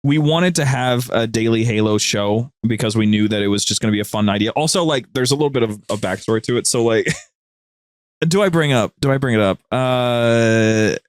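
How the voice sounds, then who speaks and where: A man's voice: medium pitch, moderate pace, clear, resonant tone, no echo, studio quality. A man speaking as a podcast guest and show producer, telling anecdotes in a casual, rambling, informal way. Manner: rambling, informal, conversational storytelling.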